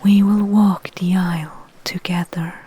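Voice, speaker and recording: A woman playing a calm, confident, possessive enchantress of Greek myth in a soft, breathy, soothing ASMR roleplay. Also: fairly low pitch, no echo. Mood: tender, possessive. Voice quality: breathy, whispery. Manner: slow, soothing, deliberate.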